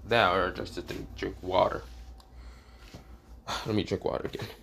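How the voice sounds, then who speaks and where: A man who is sick and coughing, telling a fan fiction story in a rambling, halting way, often restarting with "this is where, well". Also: deep voice, fairly even intonation, vocal fry, fairly bright tone, slight background noise.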